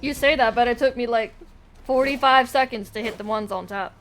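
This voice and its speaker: A woman's voice: on the high side, fairly quick. A woman livestreaming a game, giving casual, informal, sweary commentary with playful self-deprecation. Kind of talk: casual play-along commentary. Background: game music.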